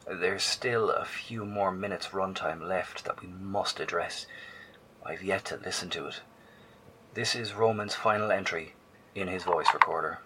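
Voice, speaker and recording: A man's voice: deep voice, unhurried, sharp tone, slight background noise, low-quality recording. An actor playing a grave, hesitant male broadcaster who talks to survivors and often drops to a whisper. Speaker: narrator.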